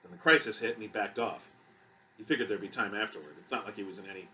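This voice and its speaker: A man voicing a big young security guard, earnest, troubled and halting, in an audiobook story. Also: on the low side, bright, forceful tone, recorded from a distance, slight background noise. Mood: regretful.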